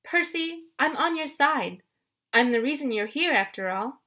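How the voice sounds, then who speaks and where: A woman's voice: high-pitched, expressive, measured pace, sharp tone, pitched above the performer's own voice, exaggerated voice. A woman voicing a vain, gushing goddess of love in a storybook reading, swooning and theatrical.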